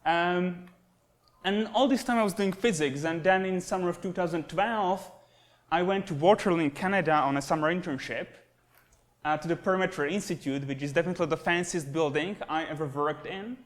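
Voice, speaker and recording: A young Slovak man with a fairly high voice lecturing in a classroom. He talks in an easygoing, self-deprecating way, filling pauses with "uh" and "um" while pointing at slides. The sound comes from a camera at the back of the room. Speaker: guest lecturer, astronomy postdoc. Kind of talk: university lecture. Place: classroom with projector and computers.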